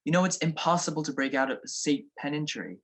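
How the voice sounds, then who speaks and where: A man's voice: fairly high pitch, animated, fast, a bit bright. A young man playing a wry, unflappable detective husband in an amateur 1940s-style radio drama. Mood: dry, reassuring. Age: young adult.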